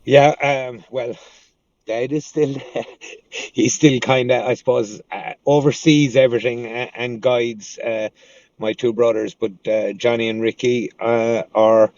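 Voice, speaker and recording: A man with a Cork accent, a guest auctioneer chatting in an interview, unhurried and pausing often mid-sentence. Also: medium pitch, steady tone, a little mellow, dry recording. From Cork City, Ireland. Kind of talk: casual interview chat. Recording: dull, distant mic.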